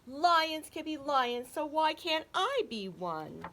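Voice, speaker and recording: Woman voicing a tiny caterpillar boy, high squeaky voice, earnest, storybook reading. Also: big pitch swings, measured pace, pushed and bright, a little hiss or hum, exaggerated voice. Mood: upset. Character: small boastful caterpillar boy wanting to be a lion.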